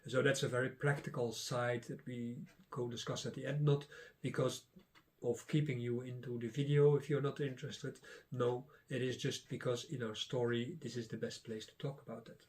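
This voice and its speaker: A middle-aged man whose first language is Dutch gives a casual lesson, pausing often with filler sounds. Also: mid-range voice, a little mellow. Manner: rambling, many filler sounds and restarts. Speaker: Taoist teacher and channel host.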